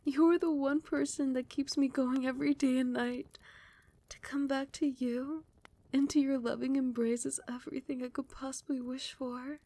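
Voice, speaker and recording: A woman acts out a doting vampire queen for an ASMR roleplay. Her voice sits fairly high for a woman, yet it is breathy and laced with creaky fry. She speaks intimately and soothingly, often close to a whisper, like a protective lover. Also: fairly even intonation, normal speed, mellow, dry recording. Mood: overjoyed, tearful. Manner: doting, soothing, affectionate pet names.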